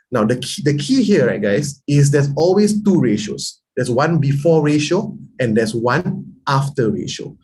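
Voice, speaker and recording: A man, teaching a lively online math class and quizzing his students as he goes. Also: mid-range voice, a little hiss or hum, low-quality recording. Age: 30s. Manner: lively, chatty teaching with quiz questions to students. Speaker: math tutor. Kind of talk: online lesson.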